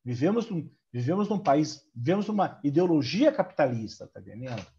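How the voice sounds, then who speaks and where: Um homem numa live por chamada de vídeo, meio agudo, com um jeito de falar informal e repetitivo. O áudio tem pouca definição, com um tom apagado. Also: male voice, fairly high pitch, big pitch swings, fairly quick, mellow, muffled recording, a little hiss or hum. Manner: loose, repetitive, ends lines with "sabe?" and "tá entendendo?". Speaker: psychologist guest on a live panel. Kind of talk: casual panel discussion. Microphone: video call.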